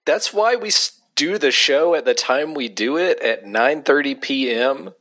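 A man's voice: medium pitch, lively intonation, measured pace, sharp tone. A man in his late thirties co-hosting a podcast, introducing guests in casual chat. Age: 30s. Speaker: podcast co-host.

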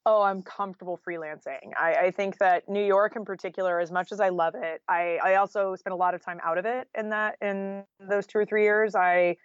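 A woman's voice: mid-range voice, fairly even intonation, brisk, few pauses, dry recording, highs cut off. An American woman talking casually and candidly as an interview guest, with an informal style full of "like" and "you know". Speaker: guest, freelance journalist.

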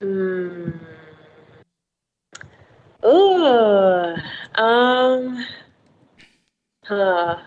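A woman singer talking as a podcast guest, with a deeper tone, hesitant and reflective. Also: lively intonation, drawn-out words, long pauses, darkish tone, lo-fi sound. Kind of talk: casual interview chat.

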